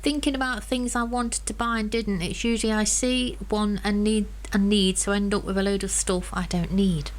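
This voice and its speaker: A woman's voice: medium pitch, steady tone, moderate pace, hardly any pauses. A woman co-hosting a radio show, chatting casually. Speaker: radio co-host.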